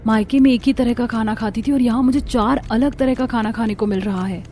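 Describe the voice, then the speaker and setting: A woman voicing a polite, plaintive young daughter-in-law in a cartoon family drama, soft and earnest. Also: fairly high pitch, fairly even intonation, fairly quick, a little hiss or hum, lightly acted. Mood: wondering.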